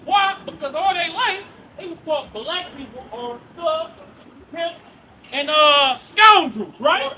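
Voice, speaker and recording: A man preaching loudly outdoors on a city street. He quizzes the crowd with questions and then answers them himself. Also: very high voice, animated, takes it slowly. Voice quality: loud and projecting, near yelling. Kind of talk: loud open-air street preaching. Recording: far from the mic with street noise.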